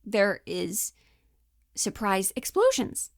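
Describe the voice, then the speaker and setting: Brief: female acting coach, twenties, informal and animated, mid-pitched voice, dry recording. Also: slow, no echo. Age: 20s. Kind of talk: casual instructional talk.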